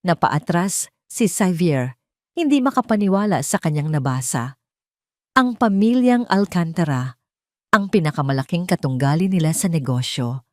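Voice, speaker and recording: A woman's voice: fairly low pitch, expressive, moderate pace, a little mellow, no echo, clean studio sound. A woman with a Filipino accent reading a scripted, dramatic audio story as its narrator.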